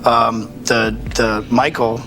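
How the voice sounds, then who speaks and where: A man's voice: mid-range voice, little pitch movement, takes it slowly. A young man chatting casually and hesitantly as a game show panelist. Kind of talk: casual chat. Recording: clear background ambience noise.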